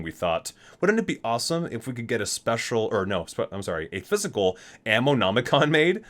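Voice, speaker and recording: A man's voice: mid-range voice, a bit bright. A man hosting an ASMR unboxing, chatting casually and reading aloud, rambling, correcting himself and marveling at small details. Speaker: video host, gaming fan.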